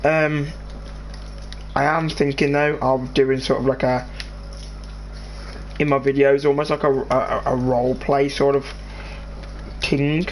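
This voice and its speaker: Brief: English teen lad, mid-pitched, rambly gaming host chat over background noise. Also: male voice, flat delivery, poor audio. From England. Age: teenager. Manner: rambling, halting, restarts sentences. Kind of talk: casual chat over gameplay.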